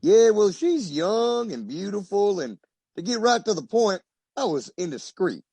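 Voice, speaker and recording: An actor playing a nervous, guilty wealthy husband with a husky voice and a flustered, theatrical manner in an old-time radio detective drama. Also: male voice, high voice, sing-song, measured pace, dark tone, no echo, full character voice. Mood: sheepish.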